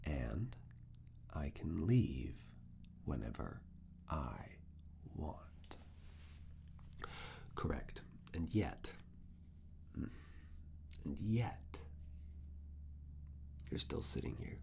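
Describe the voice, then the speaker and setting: A man performing an intimate ASMR roleplay as a stern, teasing professor, speaking deliberately in a breathy whisper and low murmur. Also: big pitch swings, warm tone. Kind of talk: ASMR personal attention roleplay.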